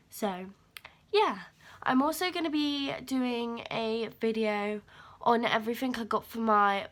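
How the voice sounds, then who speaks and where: A teenage girl chatting to her camera at home, rambling and filling gaps with ums and likes. Her voice is a little croaky and sniffly from a cold, picked up by the camera's mic in a room. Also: mid-range voice, fairly bright tone. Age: teens. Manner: rambling, chatty, lots of fillers. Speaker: vlogger. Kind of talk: casual chat to camera.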